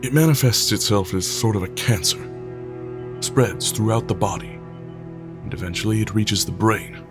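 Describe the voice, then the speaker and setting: A man playing a brooding, guarded, troubled leader in an audio drama, speaking gravely and defensively. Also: fairly low pitch, normal speed, close to an everyday voice. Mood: grave, somber. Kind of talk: full-cast audio drama acting. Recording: dramatic music under the voice.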